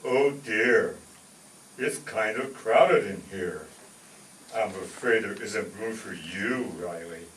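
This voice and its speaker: A man playing a solemn, gloomy undertaker in an old-time radio comedy, speaking deliberately. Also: on the low side, a bit bright. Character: gloomy, solemn, slow male undertaker friend. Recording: echoey, distant mic, faint noise.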